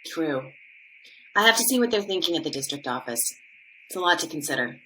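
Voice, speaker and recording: A woman's voice: low-pitched, animated, a little fast, fairly bright tone, slight background noise, poor audio. An actress playing an earnest, conflicted woman school administrator in a podcast drama. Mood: earnest, concerned.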